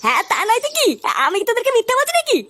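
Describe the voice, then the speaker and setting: Woman voicing a squeaky, excitable cartoon village father. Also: rapid-fire, full character voice. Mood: indignant. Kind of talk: comedic cartoon dialogue.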